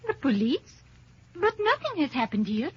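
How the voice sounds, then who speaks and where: Bitter office woman in old radio crime play, high voice, stiff formal wording, dull recording. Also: huge pitch swings, moderate pace. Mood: wary. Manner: formal, stilted, foreign-sounding phrasing. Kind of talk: old-time radio detective drama acting.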